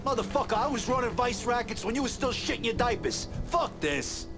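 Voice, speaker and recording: A man's voice: very high voice, brisk, over music. A man playing a crude, hot-tempered veteran mobster, profane and irritable, in a video game cutscene. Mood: angry.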